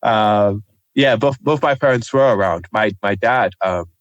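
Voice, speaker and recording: A young man from Nigeria speaks in a somewhat low voice, thoughtful and halting, filling pauses with ums. The recording is dry and close, with no room sound. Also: dry recording, highs cut off. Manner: hesitant, many ums, soft-spoken. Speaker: interview guest, journalist. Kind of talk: reflective conversational interview.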